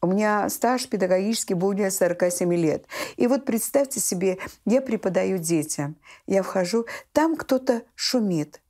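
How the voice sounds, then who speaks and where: An older woman from Azerbaijan speaks as a guest in a television interview. She tells her stories in a conversational, emphatic way, repeating key phrases for effect. Her voice sits at a medium pitch. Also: animated, moderate pace, mellow. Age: 60s. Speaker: children's writer and teacher, interview guest. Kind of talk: animated conversational storytelling in a TV interview. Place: small indoor room set with shelves, books and textiles.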